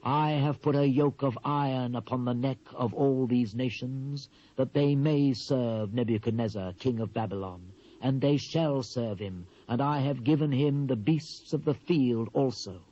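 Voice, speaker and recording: A dull, muffled cassette recording of a solemn British prophet acted by a man in a Bible drama. His voice is dark and mellow at a middling pitch. He declaims God's word gravely, drawing out his phrases with measured weight. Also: close to an everyday voice. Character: solemn male Hebrew prophet proclaiming God's judgement. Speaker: actor reading dramatised scripture. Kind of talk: dramatised King James Bible reading.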